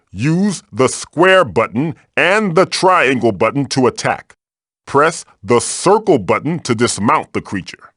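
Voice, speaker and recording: A man's voice: mid-range voice, dry recording, studio quality. A man voicing an old magical mask, a bossy, wisecracking mentor guiding the player in a video game with theatrical, wry delivery.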